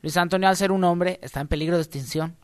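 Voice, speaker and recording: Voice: medium pitch, fast talker, clean tone, dry recording. Playful radio co-host, close dry sound. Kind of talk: casual comic radio chat.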